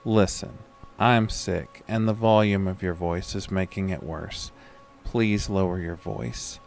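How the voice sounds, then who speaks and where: An actor playing a sick, groggy man who tells his own horror story in a weary, measured way. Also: low-pitched, fairly even intonation, vocal fry, faint music behind, exaggerated voice. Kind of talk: first-person scripted horror narration with acted dialogue.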